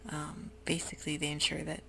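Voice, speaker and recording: A close-miked whispering voice, mostly breath with a gravelly, fry-laden edge where it turns voiced. The delivery wanders gently with many pauses and fillers, like a relaxed ASMR game tour. A steady hiss and the click of a computer keyboard sit behind it. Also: mid-range voice. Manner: soft mostly unvoiced whisper; hesitant, meandering, with many fillers. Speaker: gameplay ASMR host.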